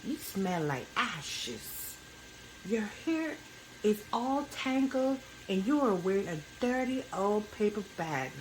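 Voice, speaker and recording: A woman's voice: medium pitch, sing-song, slow, slight background noise, pitched above the performer's own voice, rough recording. A woman playing a scornful, fussy young storybook prince with a disdainful manner.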